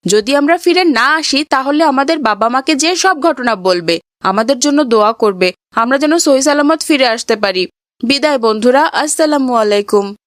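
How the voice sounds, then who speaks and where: A woman's voice: high-pitched, expressive, moderate pace, no room sound, clean studio sound. A woman playing an earnest, principled young boy in a cartoon. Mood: resolute. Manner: earnest, righteous. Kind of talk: cartoon voice acting.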